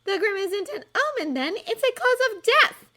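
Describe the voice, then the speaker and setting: A woman's voice: very high voice, expressive, brisk, fairly bright tone, slight background noise, voice pushed up. A woman voicing a dismissive, superior schoolgirl who talks in a clipped, know-it-all way.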